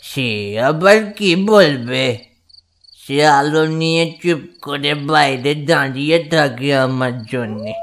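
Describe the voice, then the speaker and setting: A woman's voice: deep voice, takes it slowly. A woman voicing a drunk, sentimental middle-aged married man in a cartoon comedy, muddled and drawling. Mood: tipsy, easygoing.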